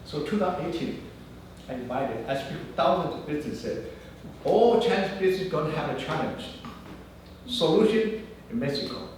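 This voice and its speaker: A man's voice: a little high, moderate pace, long pauses. Storytelling man, echoey room far off. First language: not English. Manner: animated storyteller acting out dialogue. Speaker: guest speaker. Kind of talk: anecdotal business talk. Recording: echoey room, mic far off, faint noise.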